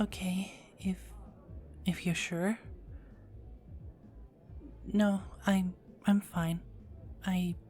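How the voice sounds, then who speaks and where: A woman's voice: lowish voice, warm tone. Woman whispering as a teasing vampire girl. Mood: flustered. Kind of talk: ASMR roleplay.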